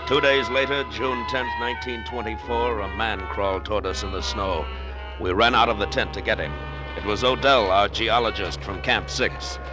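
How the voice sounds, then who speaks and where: A man's voice: on the low side, steady tone, normal speed, close to an everyday voice, poor audio. An actor playing an intense, obsessed mountaineer who tells his story in a 1950s radio drama. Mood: grave, urgent. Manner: intense, dramatic, brooding delivery. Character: obsessed male mountaineer recounting an Everest tragedy. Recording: old radio recording with loud music behind.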